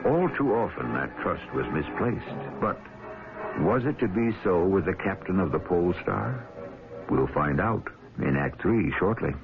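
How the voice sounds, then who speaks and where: A man hosting a radio drama series, delivering scripted, dramatic narration in a solemn, deliberate, philosophical manner. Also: sits low, sing-song. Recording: tinny old radio broadcast, music behind.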